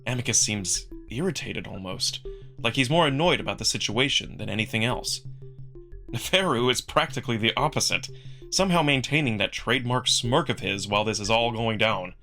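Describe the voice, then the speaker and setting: A man giving an expressive, dramatic first-person narration of a visual novel, acting out the narrator's feelings. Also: medium pitch, fairly quick, gravelly, soft background music. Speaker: narrator, amateur voice performer.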